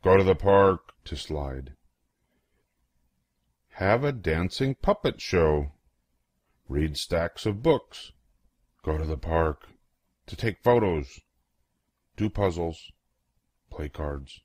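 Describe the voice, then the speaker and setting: An older man reading a children's picture book aloud in a playful, expressive way, acting out exclamations and questions. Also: deep voice, slow pace, long pauses, no echo.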